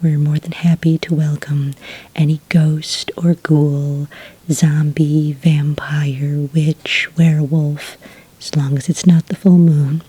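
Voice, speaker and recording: A woman playing a friendly, obliging witch desk clerk in a soft-spoken ASMR roleplay, whispering breathily in polite, hesitant customer-service patter. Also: sits low, husky, heavily acted. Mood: politely welcoming.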